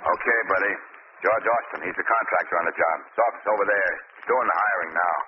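Actor doing rough wary laborer in an old radio play, lowish voice, slangy, noisy dull recording. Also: male voice, quick pace, close to an everyday voice. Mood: ominous warning. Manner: slangy, blunt. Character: rough, wary, superstitious working-class bridge laborer. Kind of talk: old-time radio drama acting.

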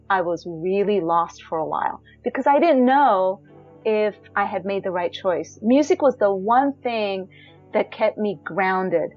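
Woman, 30s, high voice, reflective storyteller, fillers and restarts, dull lo-fi sound, faint music behind. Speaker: singer telling her life story. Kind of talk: personal storytelling.

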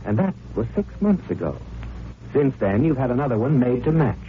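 A man's voice: medium pitch, very animated, slight background noise, lightly acted. A man playing a knowing, accusing narrator of an old-time radio mystery, dramatic and insinuating. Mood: ominous, knowing. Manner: ominous, knowing, deliberate. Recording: tinny old radio recording with music. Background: music.

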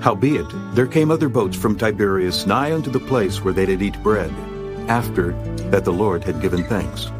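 Low-voiced male scripture narrator. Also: moderate pace. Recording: music underneath.

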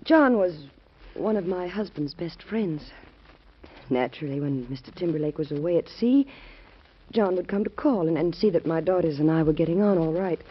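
A gentle, hesitant young widow in an old radio drama, her husky voice soft and worried. The recording sounds dull and vintage. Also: fairly low pitch, lively intonation, normal speed.

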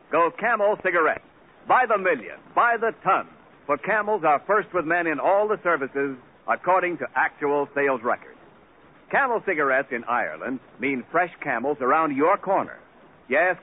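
Husky, animated American radio announcer, male. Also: on the high side. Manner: emphatic, polished sales delivery. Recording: tinny old 1940s radio recording.

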